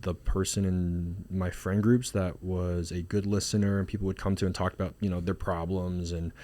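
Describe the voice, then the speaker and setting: A man's voice: sits low, level tone, moderate pace, warm tone. A man from Singapore, a marriage and family therapist, talking casually in an interview with many fillers and restarts.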